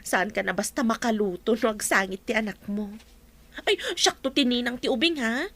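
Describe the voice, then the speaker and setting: A woman's voice: fairly high pitch, moderate pace, fairly bright tone. A woman playing a caring, supportive companion in an Ilocano Filipino radio drama. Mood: caring, chatty. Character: supportive female companion of a new mother, caring.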